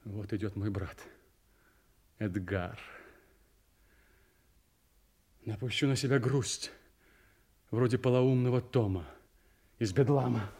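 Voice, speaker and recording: A young, resentful schemer in a classical audio drama. His voice is rough-edged and slightly low. He speaks with brooding, insinuating theatricality, passing off malice as concern. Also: measured pace, pauses often, darkish tone. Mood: scornful, bitter. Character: Edmund, scheming resentful young bastard son, feigning brotherly concern. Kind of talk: theatrical radio drama acting.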